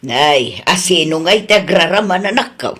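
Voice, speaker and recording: A woman playing a teasing, nosy mother in an Ilocano Filipino radio comedy, with a husky voice. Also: on the low side, lively intonation, a little slow, fairly bright tone, exaggerated voice. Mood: surprised, disapproving. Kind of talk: comedy radio drama acting.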